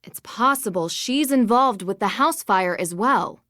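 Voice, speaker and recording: A woman's voice: mid-range voice, lively intonation, measured pace, fairly bright tone, dry recording, clean studio sound. An actress playing a determined, level-headed young female detective in a video game, earnest and purposeful. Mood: serious, probing.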